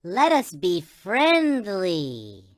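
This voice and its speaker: A man's voice: high voice, wildly swooping pitch, extremely slow, no room sound, studio quality, full character voice. A man voicing a tiny, childlike alien toy in a video game, speaking with reverent wonder in formal, chant-like phrases. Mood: solemn wonder. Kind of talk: video game character voice clips.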